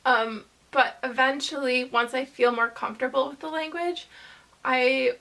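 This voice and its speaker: A woman vlogger chatting casually to camera, rambling hesitantly with lots of fillers and pauses. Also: high-pitched, creaky, pushed and bright.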